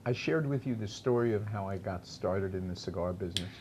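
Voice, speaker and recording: Cigar panel regular, male, low-pitched, easygoing storyteller who laughs with the group. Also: a little fast, noisy background. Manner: relaxed storyteller, laughs easily. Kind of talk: casual panel chat and storytelling.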